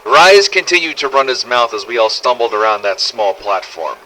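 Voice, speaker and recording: A man's voice: medium pitch, normal speed, pushed and bright, rough recording. A man narrating a scripted first-person survival story in character, with a dramatic, gritty delivery and some swearing. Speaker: narrator of fan-made game audio logs.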